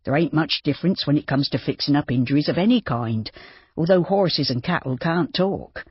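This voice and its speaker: A reader as a steady, practical old farmer. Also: medium pitch, normal speed, breathy edge, no echo, lo-fi sound.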